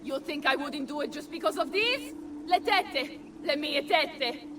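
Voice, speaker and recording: A woman's voice: high voice, expressive, measured pace, far from the mic, background noise. An actress playing a fierce, brash Italian noblewoman in a video game, taunting and swearing with an Italian accent. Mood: defiant taunting. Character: fierce, foul-mouthed Italian noblewoman and defiant mother. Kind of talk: video game cutscene acting.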